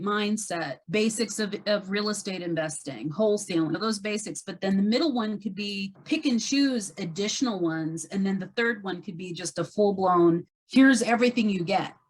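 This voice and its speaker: Woman giving emphatic advice, mid-pitched voice. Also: a little hiss or hum, poor audio. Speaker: caller, real estate agent. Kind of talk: conversational advice on a group call.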